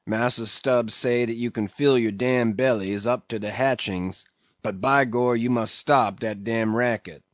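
A man voicing a sullen, limping old ship's cook who mumbles a slow sermon, on a dull lo-fi recording. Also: medium pitch, fairly even intonation, dry recording, natural voice. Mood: grumbling.

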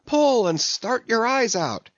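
A man's voice: extremely high pitch, big pitch swings, slow, no room sound, muffled recording, pitched above the performer's own voice, heavily acted. A man voicing a jovial whaleboat mate in a sea-novel audiobook, coaxing and mock-scolding his rowing crew with drawling exhortations. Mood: mock fury.

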